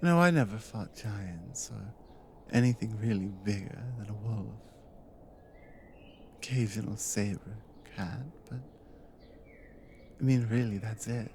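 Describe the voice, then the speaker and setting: A woman playing a devoted, dominant Nordic warrior wife in an intimate ASMR audio roleplay, speaking softly and earnestly, often dropping to a whisper. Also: a bass voice, a little hiss or hum, heavily acted. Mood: gentle, sincere. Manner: soft, hushed, often breathy whispering, slow and earnest.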